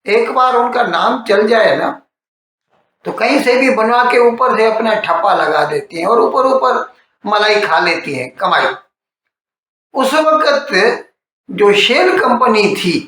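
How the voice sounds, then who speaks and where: Slow, high-voiced man in an echoey room. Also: a bit bright. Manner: storytelling, repeats and rephrases for emphasis. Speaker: news commentator. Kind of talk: conversational explainer monologue on current affairs. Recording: strongly echoing, reverberant room.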